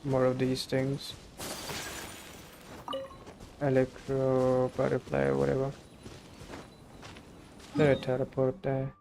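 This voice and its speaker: A man's voice: medium pitch, monotone, slow pace, gravelly, pauses often, background noise. A man streaming a video game, giving casual, slangy live commentary in a laid-back way. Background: game music.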